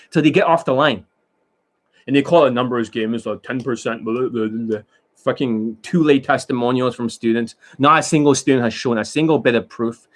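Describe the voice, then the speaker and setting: A man lecturing as a dating coach in a blunt, profane rant, swinging between loud outbursts and low muttering. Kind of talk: ranting monologue lecture.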